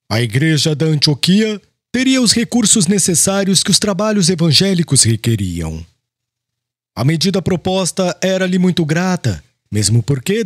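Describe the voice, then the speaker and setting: A man narrating a literary audiobook in a formal, expressive reading style. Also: fairly high pitch, no room sound, clean studio sound.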